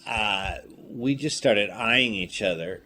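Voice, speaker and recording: Male interview guest, low voice, animated casual storyteller, noisy room recording. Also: slow. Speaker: filmmaker and writer, interview guest. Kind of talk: casual conversational anecdotes. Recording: clearly noisy room ambience.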